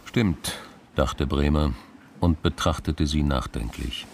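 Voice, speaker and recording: An actor narrating a radio crime drama as a reflective storyteller, in a measured, pensive way. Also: male voice, a bass voice, vocal fry, a little hiss or hum, exaggerated voice.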